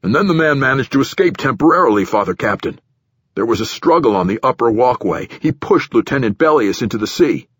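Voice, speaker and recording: Guy doing a sweaty flustered officer boss, defensive, folksy, deferential, pitched up and animated, rough edge to it. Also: a little fast, no echo. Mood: defensive, formal. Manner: deferential, hedging, folksy turns of phrase. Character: flustered, overweight middle-ranking male station director, defensive. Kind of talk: audiobook character dialogue.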